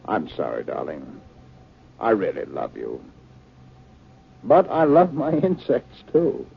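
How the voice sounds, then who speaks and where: A man's voice: on the low side, huge pitch swings, takes it slowly, creaky, full character voice. A man playing an exasperated but affectionate academic husband who loves insects, acted in 1950s radio drama style. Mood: subdued, affectionate. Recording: noisy, dull 1950 radio recording.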